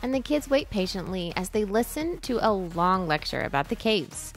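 A woman in her thirties narrating her family's travel vlog in a chatty, lively way, laughing often. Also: medium pitch, normal speed, soft background music. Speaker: family vlogger, mother.

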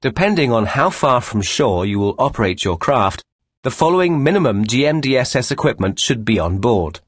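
A man reading scripted instructional narration as a course instructor, measured, formal and clearly enunciated. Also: mid-range voice, big pitch swings, no room sound, studio quality.

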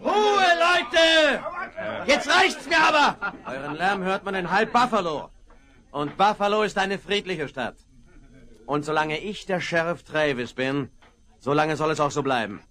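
A stern old-west sheriff bellows for order in a sharp, bright voice. His pitch jumps high and wide as he lays down the law. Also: lively intonation, measured pace, exaggerated voice. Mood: commanding. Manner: barking orders. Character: stern small-town sheriff, commanding man. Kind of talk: 1970s radio play acting.

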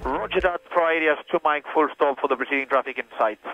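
A man's voice: on the high side, quick pace. A man making pilot calls in clipped radio phraseology over aviation radio. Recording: muffled cockpit radio call, music behind.

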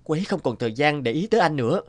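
A man's voice: fairly high pitch, lively intonation, rapid-fire, close to an everyday voice. A man as a cool cartoon private detective. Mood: teasing. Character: cool, teasing male private detective, protective. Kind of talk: cartoon dialogue.

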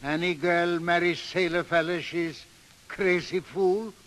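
A man's voice: sits high, fairly even intonation, a little slow, a bit bright. An actor playing a stubborn old Swedish father, grumbling in accented broken English in a 1930s radio drama. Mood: worried. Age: older. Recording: old reverberant radio broadcast.

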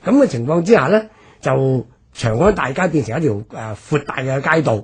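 A man with a Hong Kong Cantonese accent explains history off the cuff as a commentator, halting and drawing out his particles. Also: medium pitch, lively intonation, darkish tone, slightly rough. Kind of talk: unscripted explanatory discussion.

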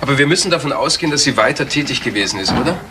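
A man's voice: medium pitch, expressive, rapid-fire, noisy background, lightly acted. A man playing a matter-of-fact police detective in a German crime radio play, on an old recording. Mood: musing.

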